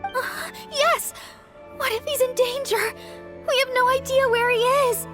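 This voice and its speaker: Sweet worried girl, extremely high voice, polite, alarmed, game acting, music behind. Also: measured pace. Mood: panicked. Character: sweet, anxious young girl. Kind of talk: animated video game acting.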